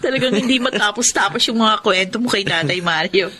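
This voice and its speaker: A Filipino woman playing a warm, chatty young mother and wife in a radio drama. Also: medium pitch, a little fast, a little hiss or hum, close to an everyday voice. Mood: chatty, amused.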